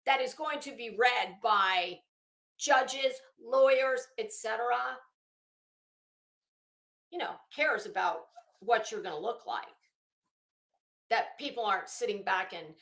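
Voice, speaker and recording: Blunt, slow-talking woman in an echoey room. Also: high voice, bright, forceful tone. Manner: blunt, sarcastic, swearing. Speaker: commentary channel host. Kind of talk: casual spoken commentary while reading a legal document.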